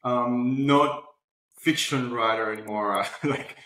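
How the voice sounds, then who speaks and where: Roomy echo, man, mid-pitched voice, interview guest, casual loose storytelling. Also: slow pace, a bit bright. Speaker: interview guest, platform founder. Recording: roomy, echoing voice pickup.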